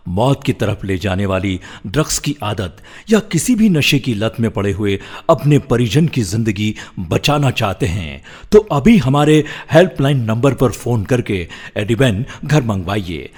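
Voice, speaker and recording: A middle-aged man reading scripted voice-over in a measured, dramatic way. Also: on the low side, darkish tone, breathy edge, big-room sound. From India. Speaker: professional voice-over artist. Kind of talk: scripted voice-over for commercials and narration.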